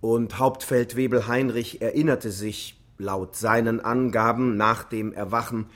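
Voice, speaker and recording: A male actor as a military psychologist on the witness stand in a radio drama. His mid-pitched voice stays sober and factual, explaining everything with clinical precision. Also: fairly even intonation, a little slow, fairly bright tone, close to an everyday voice. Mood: clinical, precise.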